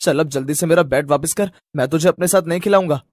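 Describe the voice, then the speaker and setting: A man's voice: on the high side, fast, natural voice. A man voicing an indignant rich young boy who speaks insistently and stands up for himself in a cartoon story.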